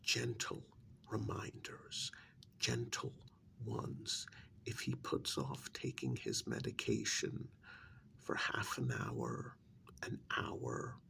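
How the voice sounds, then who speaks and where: Man acting a gravelly, half-whispering secret-agency boss. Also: extremely low pitch, sing-song, sharp tone, exaggerated voice. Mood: measured, insistent. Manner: measured, patronizing, deliberate, with pointed pauses. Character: cold, condescending male secret-agency superior briefing an operative. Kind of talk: soft-spoken ASMR role-play.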